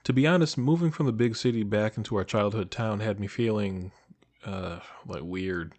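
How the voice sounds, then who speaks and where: A man acting as a shy, nostalgic old high school best friend, talking casually and hesitantly to the listener in an intimate audio roleplay. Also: normal speed, lightly acted. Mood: relaxed, friendly. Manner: hesitant, casual, soft-hearted, trailing off.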